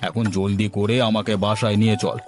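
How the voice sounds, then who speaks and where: A greedy, pompous village landlord from a cartoon, played by a man. His voice is fairly low and he blusters, with a music bed running underneath. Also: little pitch movement, fairly quick, darkish tone, close to an everyday voice. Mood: bossy. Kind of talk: cartoon voice acting.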